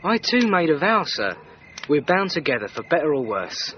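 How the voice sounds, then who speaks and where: An actor playing a loyal, steadfast manservant, deferential and earnest, in a radio drama. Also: male voice, a little high, big pitch swings, normal speed. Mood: earnest, reassuring. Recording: dull sound with clear background noise.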